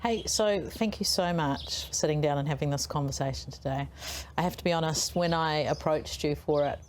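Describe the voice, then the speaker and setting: A woman hosting an interview, candid and gentle in her questions. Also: low voice, a little hiss or hum. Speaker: interview host.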